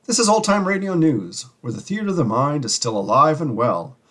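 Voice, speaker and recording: A man with a husky voice delivering scripted radio-style commentary as a show host. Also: on the high side, very animated, fairly quick, fairly bright tone.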